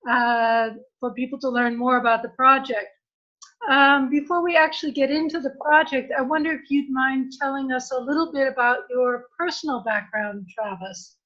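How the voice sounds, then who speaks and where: A woman interviewing a guest over a video call, courteous and measured, slightly halting. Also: high voice, a bit bright, muffled recording. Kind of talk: polite formal interview.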